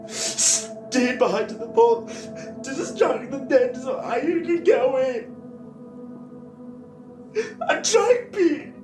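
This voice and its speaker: A man playing a wounded apocalypse survivor in an audio drama, grieving and apologetic, speaking in a halting, breathy, pleading way. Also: extremely high pitch, expressive, echoey room, raised voice, over music, exaggerated voice. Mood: anguished, tearful.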